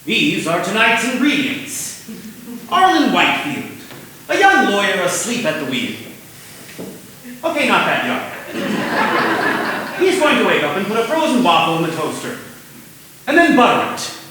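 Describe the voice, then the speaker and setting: An actor playing the emcee of a staged musical, talking and singing theatrically to a live audience, recorded live in an echoey theater. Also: male voice, sits high, huge pitch swings, moderate pace, pauses often, bright, forceful tone, slight background noise, full character voice. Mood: wry. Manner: theatrical, playful, sings and speaks to the audience. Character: showy male food-show emcee narrating the evening. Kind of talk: staged musical reading, spoken and sung.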